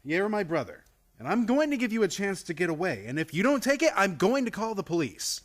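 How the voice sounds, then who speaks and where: An actor playing a frantic, exasperated nephew in a radio play, agitated and flustered. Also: male voice, high-pitched, big pitch swings, brisk. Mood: stern.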